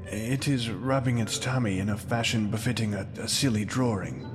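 A bumbling, pampered prince played by a man in a comedy audio drama. He is meek and hesitant, with prissy formal wording, in a big reverberant space with music clearly behind him. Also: on the low side, normal speed, lightly acted. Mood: sheepish. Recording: long reverb under loud music.

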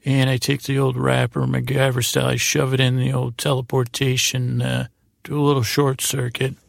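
A man with a heavily creaky, gravelly voice, recorded close and dry. He hosts a podcast as a rambling bedtime monologue. His delivery meanders and halts, often circling back to repeat words. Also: medium pitch, monotone, no echo. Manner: meandering, halting, repeats himself. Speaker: podcast host.

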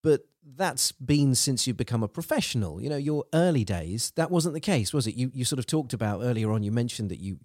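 A man's voice: mid-range voice, animated, brisk, hardly any pauses, darkish tone, no room sound, clean studio sound. A man from the United Kingdom hosting a podcast interview, eager and rambling with many restarts. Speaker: podcast host.

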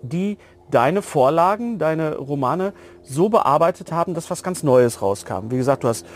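A man with a fairly high voice hosts a casual podcast interview. He talks at length and with a fan's enthusiasm, in a conversational style. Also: expressive, moderate pace.

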